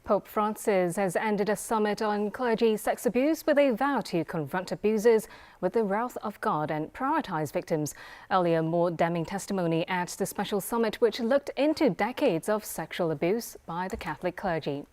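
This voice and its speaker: A woman's voice: mid-range voice, normal speed, a little mellow. A woman anchor reading scripted television news.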